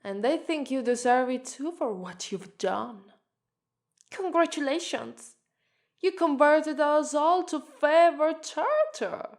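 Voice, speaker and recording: Slow, menacing Italian-accented policewoman, acted by a woman. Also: sits high, expressive, heavily acted. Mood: mocking, gleeful. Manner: taunting, slow, drawn-out, slipping into whispers. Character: menacing, sadistic, vengeful policewoman interrogating a killer. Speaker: ASMR voice actress. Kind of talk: ASMR roleplay voice acting.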